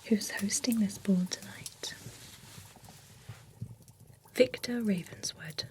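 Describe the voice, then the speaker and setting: A woman in a whispered ASMR roleplay, voicing a gossipy, envious female beautician at a spa for the undead, speaking in a soft unvoiced whisper into a close microphone. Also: mid-range voice, takes it slowly, close to an everyday voice. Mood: soothing. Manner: chatty and nosy.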